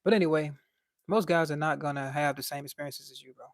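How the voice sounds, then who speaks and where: A man's voice: a little high, fast talker, no echo, clean studio sound. A young man with a light voice, chatting casually on a livestream and reading out chat in a laid-back, slangy way.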